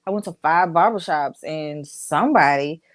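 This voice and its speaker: A woman's voice: deep voice, normal speed, no room sound. A woman from Dallas with a Texas accent, a business owner chatting candidly as a podcast guest. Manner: candid, chatty, storytelling with fillers. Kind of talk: casual podcast interview.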